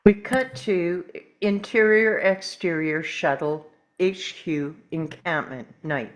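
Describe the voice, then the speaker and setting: A woman narrating scripted stage directions at a table read, measured, with dramatic pauses. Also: fairly low pitch, rough recording.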